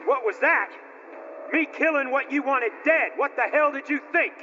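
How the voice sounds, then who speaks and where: An actor playing a cocky, sadistic mercenary enforcer in a video game, drawling and taunting. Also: male voice, high voice, full character voice. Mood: angry. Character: sadistic, cocky male mercenary enforcer who relishes killing. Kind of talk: cinematic video game acting. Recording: muffled audio under loud music.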